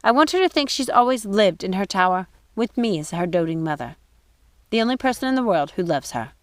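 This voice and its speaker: A scheming storybook witch, played by a woman reader, who coats her words in false sweetness. Her voice rises a little above the narrator's, with lively swings and a dry, close sound. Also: medium pitch, a little fast, no room sound, natural voice. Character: cunning witch posing as a caring woman.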